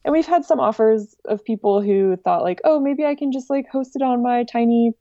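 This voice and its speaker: Female interview guest. Soft mellow tone, informal and wry, dry but slightly dull lo-fi sound. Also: fairly high pitch, normal speed, no room sound. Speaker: interview guest, director of an online music archive. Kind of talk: casual spoken interview.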